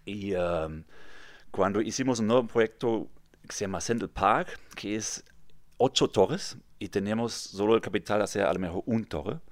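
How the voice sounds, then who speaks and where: A man's voice: on the low side, moderate pace, no room sound. A German man in his thirties with a German accent, telling his story as a podcast guest in a small recording booth, sometimes searching for words and repeating himself. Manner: earnest storytelling, occasional word searches and repeats. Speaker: guest, real estate developer. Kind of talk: podcast interview.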